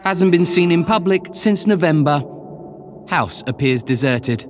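An urgent newspaper voice, fairly high for a man. It reads like a dramatised news report, over faint noise. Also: animated, unhurried.

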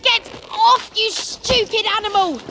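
A woman's voice: sits high, big pitch swings, unhurried, heavy background noise, voice pushed up. A woman voicing an indignant, hot-tempered teenage boy in an audiobook. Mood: furious. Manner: shouting, furious.

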